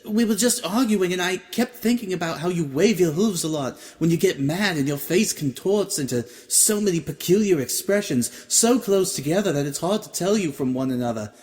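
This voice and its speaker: A man's voice: high-pitched, animated, a little mellow, big-room sound, voice pushed up. A man voicing a shy, flustered young mare musician who stammers and apologizes. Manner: stammering, halting.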